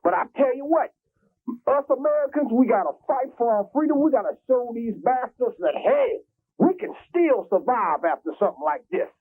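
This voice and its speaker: Jamaica-born guy on a crackly phone line, high lively voice, swearing and bragging nonstop. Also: normal speed, slightly rough. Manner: profane, boastful, rambling. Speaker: caller. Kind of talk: crude phone-in rant.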